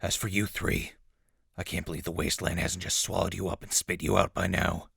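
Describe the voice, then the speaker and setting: An actor playing a gruff, curt undercover infiltrator among raiders in a post-apocalyptic audio drama, with a rough voice. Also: male voice, low-pitched, little pitch movement, fairly quick, a bit bright, no room sound, clean studio sound, full character voice. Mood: stern, scornful. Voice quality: gravelly, rough. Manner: curt, bossy.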